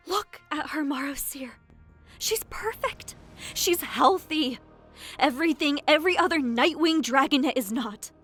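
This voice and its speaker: Woman as a high-voiced, protective mother dragon. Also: lively intonation, unhurried, a bit bright, soft background music. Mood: tender. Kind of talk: audio drama acting.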